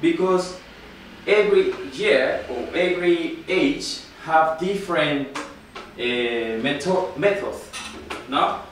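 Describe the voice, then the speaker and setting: A man from Ecuador with a Spanish accent gives an informal classroom presentation in halting, non-native English, often checking with a short tag question. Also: a little high. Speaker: student presenter, football coach. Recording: very echoey room, distant mic, noisy.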